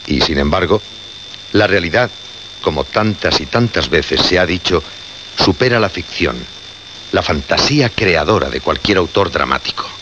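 Solemn male horror-show host, deliberate and theatrical, on a muffled, noisy radio recording. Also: deep voice, lively intonation. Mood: solemn, ominous. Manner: deliberate, dramatic pauses and repetitions. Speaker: radio actor. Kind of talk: radio horror drama acting.

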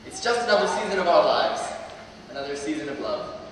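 A man's voice: on the high side, expressive, brisk, distant mic, a little hiss or hum. Teen boy speaking in an echoey hall. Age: late teens. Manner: earnest with wry humor. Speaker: student graduation speaker. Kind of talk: commencement address. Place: large auditorium at a lectern. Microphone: stand mic, filmed from far off. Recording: echoey hall recorded from afar.

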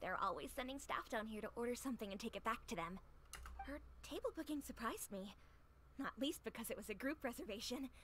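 A woman's voice: a little high, lively intonation, brisk, a bit bright, slight background noise. A woman playing a friendly, chatty owner of a humble eatery in a video game, with an easygoing, confiding, neighborly manner. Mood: welcoming.

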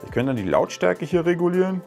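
A man's voice: high voice, very animated, fast talker, gravelly, darkish tone, soft background music. A man in his thirties casually reviewing gadgets at home, talking in a chatty, explaining way with plenty of fillers. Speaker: tech reviewer. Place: living room at home, indoors.

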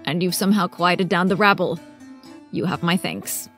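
A woman playing a prim, wry older librarian in an animated film. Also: fairly low pitch, normal speed, soft background music. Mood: wry, brisk. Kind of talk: animated film character acting.

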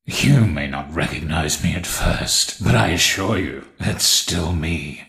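Deep gravelly rotting animatronic. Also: male voice, slow, a bit bright, full character voice. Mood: sinister. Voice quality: gravelly, whispery. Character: sinister rotting animatronic rabbit, male, cold schemer.

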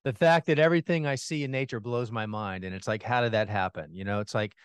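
A man as a podcast guest, talking about gardening with enthusiasm and animated energy, laughing now and then. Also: lowish voice, fast, clear, resonant tone, no room sound, clean studio sound. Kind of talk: casual interview chat. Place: home in Atlanta, remote call.

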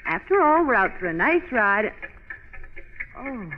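An actress playing a sophisticated, lively lady companion in 1940s radio style. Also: fairly high pitch, measured pace. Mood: playful. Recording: noisy, dull, reverberant old radio recording.

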